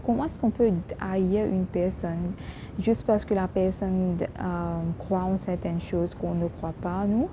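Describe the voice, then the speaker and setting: A woman vlogger speaking French with a strong non-native accent as an English speaker. She talks halting and earnest, restarting her sentences often. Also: fairly low pitch, steady tone, noisy background. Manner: halting, many restarts and fillers. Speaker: YouTube vlogger. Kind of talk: casual talk to camera.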